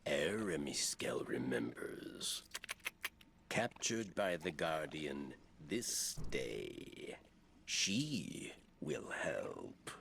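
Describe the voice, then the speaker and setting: A gravelly ancient alien elder. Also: male voice, a bass voice, very animated, fairly bright tone, a little hiss or hum, exaggerated voice. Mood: solemn. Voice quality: gravelly, creaky. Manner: slow, terse, broken phrases. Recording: game soundtrack under voice. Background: music.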